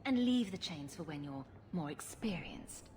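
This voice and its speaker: A sardonic rogue heroine in a fantasy game. Her voice is on the low side, with dry, teasing delivery. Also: lively intonation, measured pace, darkish tone, slight background noise. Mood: teasing, resigned. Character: sarcastic, wry female rogue adventurer champion. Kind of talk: video game voice acting.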